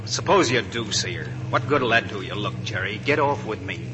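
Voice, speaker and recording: A man's voice: lowish voice, animated, moderate pace, lightly acted. Man playing an earnest young officer, noisy recording. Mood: earnest, urging. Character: young Army officer, earnest, persuasive loyal best friend. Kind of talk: 1940s radio drama acting. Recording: noisy 1940s radio, vehicle drone behind.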